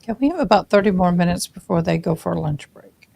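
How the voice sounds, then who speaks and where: A woman's voice: fairly low pitch, animated, fast talker, gravelly, mellow. A woman giving casual running commentary over a livestreamed court trial, chatty and loose with her asides.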